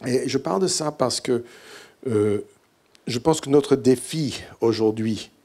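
A man's voice: mid-range voice, slow, darkish tone. A middle-aged man from English-speaking Canada, speaking French with an English Canadian accent in a hesitant conference talk full of fillers, on a hall stage with a handheld mic. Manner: hesitant, many "euh" fillers and restarts. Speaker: keynote speaker.

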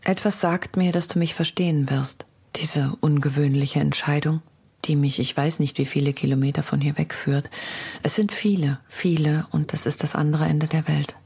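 A woman's voice: low voice, steady tone, moderate pace, vocal fry, mellow, slightly rough, full character voice. An actress playing a woman writer confiding an intense, resolute love letter, intimate and half-whispered, for a radio feature. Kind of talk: dramatic reading of a personal letter in a radio feature. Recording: music under the voice.

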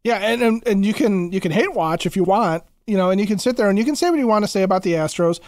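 Male sports podcast co-host, high register, emphatic casual commentary, close dry recording. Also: animated, fast, darkish tone, no room sound.

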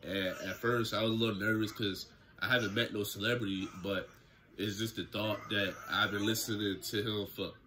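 Low, bright-voiced young man vlogging. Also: normal speed, a little hiss or hum. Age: young adult. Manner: relaxed, slangy storytelling to camera. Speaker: YouTuber. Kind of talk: casual story time vlog. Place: small indoor room at home. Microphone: camera close in front, seated.

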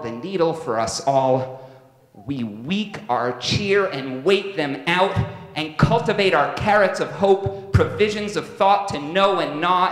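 A man playing a tender, proud, aging father rodent in a deliberate, solemn, theatrical dramatic poetry reading. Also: on the high side, a bit bright, voice pushed up. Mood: proclaiming. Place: stage in a large hall with audience. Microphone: microphone on a stand. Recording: echoey hall, stage microphone.

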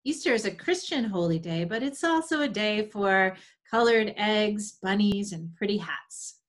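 A woman's voice: mid-range voice, animated, measured pace. A woman in her forties giving scripted storytelling for children, recorded over a video call from a room at home. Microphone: video call computer mic.

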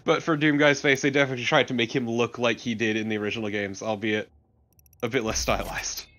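A man's voice: mid-range voice, flat delivery, quick pace, sharp tone. A man co-hosting a Let's Play with casual, offhand, blunt commentary on a video game. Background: video game sounds.

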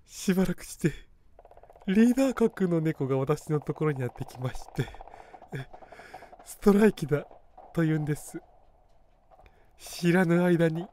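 A man's voice: a little high, expressive, a little slow, long pauses, mellow, pitched above the performer's own voice. A man voicing a haughty, miserly middle-aged woman who leads a cat circus, genteel and easily offended, in a comic radio drama. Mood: pompous. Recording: noisy background ambience.

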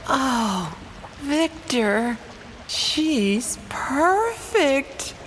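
Audio drama mother, played by a woman: tender, anxious, high, very slow, music underneath. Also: big pitch swings, noisy background, exaggerated voice. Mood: adoring. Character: tender, emotional new mother, loving and worried.

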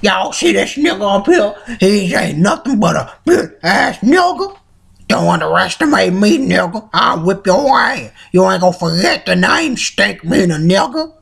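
A man's voice: sits high, big pitch swings, a little slow, creaky, fairly bright tone, slightly rough, exaggerated voice. A man doing an impression of a belligerent blind old cartoon man who taunts and threatens to fight, recorded on a webcam in a small room at home. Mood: boastful. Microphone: webcam at arm's length.

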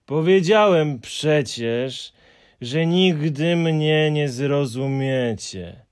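A man's voice: on the high side, a little mellow, clean tone, pitched above the performer's own voice. A man voicing a gloomy, fussy male Hemulen who drags out his mournful complaints in an audiobook. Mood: glum. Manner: mournful, sighing, drawn-out complaints. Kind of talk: audiobook character voice.

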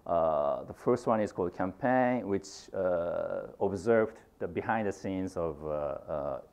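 A middle-aged man with a Japanese accent, whose first language is Japanese, lectures to a university audience. His voice sits very low. He pauses often on "uh" and restarts his phrases, and is picked up from a distance as he stands in a lecture room. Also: lively intonation, a little mellow, smooth. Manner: halting, many fillers and restarts. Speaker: guest lecturer, filmmaker.

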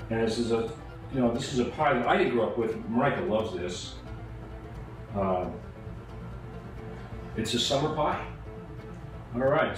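A middle-aged man hosting a home cooking show, talking casually and self-deprecating as he works. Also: mid-range voice. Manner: self-deprecating, chatty, mock-nervous asides. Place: home kitchen, indoors. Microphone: far camera on the counter. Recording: roomy kitchen echo, distant mic, music behind.

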